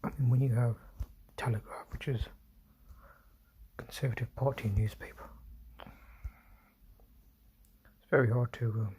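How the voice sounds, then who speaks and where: Man whispering low on a phone stream. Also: steady tone, measured pace, long pauses, darkish tone, slight background noise. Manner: talking low, often whispering, with apologies and restarts. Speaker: livestream host. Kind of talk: solo livestream commentary. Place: indoors at home, quiet room.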